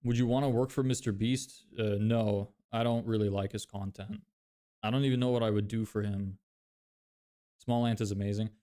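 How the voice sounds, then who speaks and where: A man's voice: lowish voice, steady tone, moderate pace, mellow. A young man whose first language is Lithuanian, chatting casually on a livestream as he reads and answers chat, rambling with many fillers and restarts.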